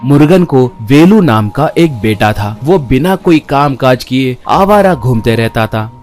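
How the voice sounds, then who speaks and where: A man with a medium-pitched voice narrates a scripted children's tale. Music plays behind his storytelling. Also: lively intonation, measured pace, a little mellow.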